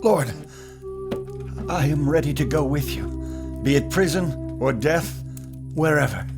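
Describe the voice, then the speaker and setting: An actor playing Peter, an impulsive, anxious disciple who speaks with agitated emphasis. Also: male voice, a little high, expressive, unhurried. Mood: earnest. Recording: music score behind.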